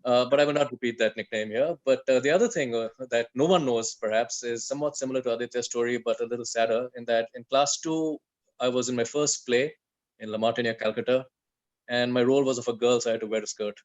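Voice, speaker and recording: A man from India chats casually over a video call, poking fun at himself. The call audio is muffled and lo-fi, and his pitch sits in an ordinary middle range. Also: fairly even intonation, quick pace. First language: English. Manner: chatty, self-deprecating storyteller. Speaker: guest on aviation talk.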